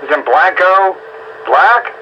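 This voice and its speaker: Man, animated intonation, casual banter, muffled noisy two-way radio. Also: high voice, slow. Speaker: amateur radio operator.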